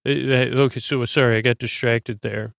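Male podcast host for bedtime listening: creaky, close dry sound, lulling, meandering and halting. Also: medium pitch, fairly even intonation, a bit bright, no room sound. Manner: meandering, stammering, trails into tangents; soothing, lulling delivery. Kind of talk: rambling bedtime monologue.

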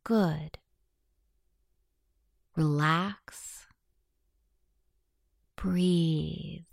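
A woman guiding a scripted hypnosis meditation in a soothing, softly breathy delivery. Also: fairly low pitch, no room sound, clean studio sound.

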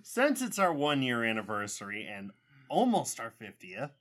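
A man's voice: mid-range voice, wildly swooping pitch, normal speed, a bit bright. A man co-hosting a podcast in casual chat, with a voice that sounds like a radio announcer's and frequent laughter. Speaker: podcast co-host.

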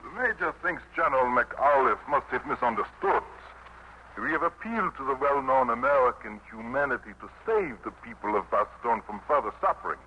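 A man's voice: medium pitch, a little fast, close to an everyday voice. A man playing a stiff Nazi officer in a 1950s radio drama, speaking formally with a German accent. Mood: stern. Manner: formal, clipped. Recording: muffled noisy old radio broadcast.

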